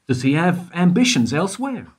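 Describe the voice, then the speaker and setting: A man's voice: high-pitched, very animated, moderate pace, a little mellow, slightly rough, exaggerated voice. An actor playing a persistent male investigator who needles a witness with questions in a radio play. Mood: probing. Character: probing male detective questioning a guard. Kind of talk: amateur radio play acting.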